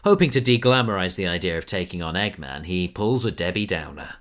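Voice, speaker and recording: A man narrates a fan audio drama in a brisk, descriptive way. His voice is low, with lively rises and falls.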